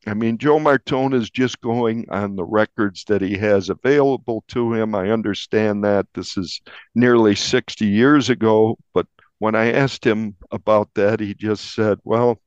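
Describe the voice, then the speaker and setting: A man speaking as a journalist guest, explaining deliberately in an interview and restating points for emphasis. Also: fairly low pitch, smooth, dry recording, highs cut off.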